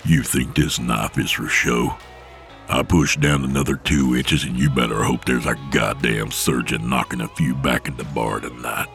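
A man voicing a menacing tough guy with a deep, growling rumble. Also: steady tone, moderate pace, a bit bright, faint music behind.

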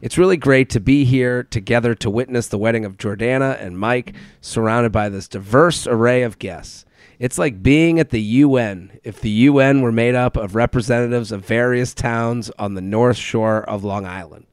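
A man's voice: mid-range voice, moderate pace, clear, resonant tone, no echo, studio quality. A man co-hosting a podcast, reading from a script with casual asides. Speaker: podcast co-host.

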